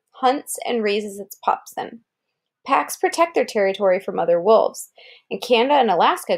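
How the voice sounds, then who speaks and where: Female, higher-pitched voice, read-aloud narration for a young listener, explanatory delivery with casual asides. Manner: explanatory, pausing to gloss words and point out pictures. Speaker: reader presenting an article aloud to a child.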